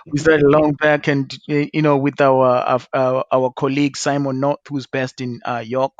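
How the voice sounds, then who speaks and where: A man hosting an online panel discussion, introducing a guest in a halting way with many fillers and repeated words. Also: medium pitch, little pitch movement, no echo, lo-fi sound. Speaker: talk show host.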